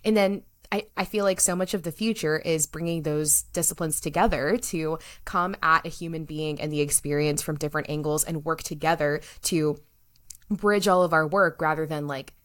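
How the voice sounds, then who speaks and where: A woman's voice: deep voice, steady tone, moderate pace. A woman hosting a podcast, asking long, rambling questions full of filler words. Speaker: podcast host. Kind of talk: casual interview talk.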